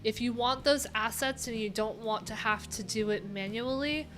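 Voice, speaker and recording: A woman's voice: fairly high pitch, normal speed, fairly bright tone. A woman in her thirties with an American accent, chatting casually as a livestream host in a rambling, wry, informal way. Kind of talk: casual livestream chat while reading docs. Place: small cluttered room indoors. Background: background music.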